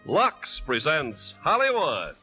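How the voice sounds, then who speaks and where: A man's voice: on the high side, wildly swooping pitch, takes it slowly, loud music behind the voice. A man with an American accent announcing a 1950s radio show in a formal presenter's style. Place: radio broadcast with music behind.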